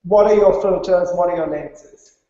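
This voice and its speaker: A man's voice: high-pitched, moderate pace, warm tone. A man from India presenting at a conference, didactic, stressing key points and checking that listeners follow. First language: English. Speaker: conference speaker. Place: large reverberant conference room. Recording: very echoey hall, dull muffled sound.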